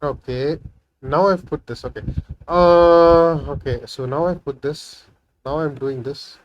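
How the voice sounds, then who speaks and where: A man's voice: a little high, measured pace, highs cut off, a little hiss or hum. A man whose first language is Tamil, talking through a coding session in a casual screen-recorded tutorial, thinking aloud with frequent fillers and "right?" tags. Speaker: programming tutorial host.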